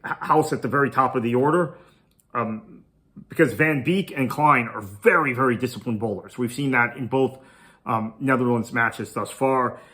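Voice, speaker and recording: Bright-voiced man previewing cricket. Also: medium pitch, moderate pace. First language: Tamil. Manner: thinking aloud, conversational. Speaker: podcast host. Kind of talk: solo cricket preview commentary.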